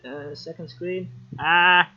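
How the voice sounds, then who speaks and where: A man hosts a casual live stream over a video call, his voice a touch high. He talks in a chatty, joking way, half distracted by technical trouble. The audio is slightly muffled and lo-fi. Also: steady tone, slow pace. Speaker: host.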